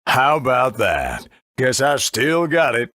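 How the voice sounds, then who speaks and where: A man voicing a good-natured, slightly bumbling strong old master in a video game, easygoing and drawn-out. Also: mid-range voice, very animated, pushed and bright, dry recording, studio quality, full character voice. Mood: proud, then pained. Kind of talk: video game character acting.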